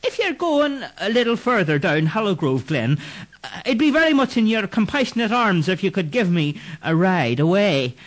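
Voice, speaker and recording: A man voicing a terrified drunken Irishman with a frantic, shrill, pleading manner. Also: big pitch swings, normal speed. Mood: wheedling.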